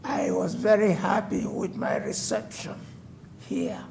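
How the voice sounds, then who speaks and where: A man's voice: high voice, fairly even intonation, measured pace, creaky, a little mellow, slight background noise. A man in his nineties from West Africa, telling a formal personal story to a city board. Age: 90s. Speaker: resident addressing a code enforcement board. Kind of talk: personal testimony at a public meeting. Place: city council chamber.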